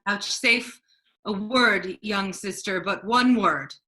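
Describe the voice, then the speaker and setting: A woman playing a disguised duke posing as a grave, counseling friar, in measured, formal Shakespearean verse. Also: medium pitch, sharp tone. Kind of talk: staged reading of Shakespeare.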